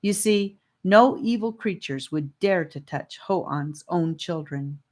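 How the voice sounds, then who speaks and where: Woman playing boy leader of a group, measured and reflective, children's fantasy storybook. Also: on the low side, animated, a little mellow. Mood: thoughtful. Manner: thoughtful, measured. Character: thoughtful boy leader, calm and explaining.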